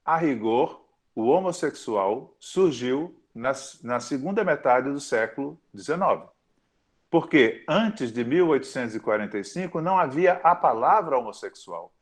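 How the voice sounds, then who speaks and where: A man lectures in a measured, thoughtful way. He breaks off often to pause and rephrase. His voice sits at an ordinary middle pitch. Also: lively intonation. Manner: thoughtful, with frequent pauses and self-corrections. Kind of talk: academic lecture.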